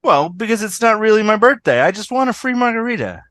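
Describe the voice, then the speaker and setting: A woman's voice: mid-range voice, sing-song, quick pace, a bit bright, dry recording, studio quality. A woman playing a brash, selfish, sarcastic woman in a scripted comedy read over a video call. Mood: cheeky, pushy. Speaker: fan table-read actor.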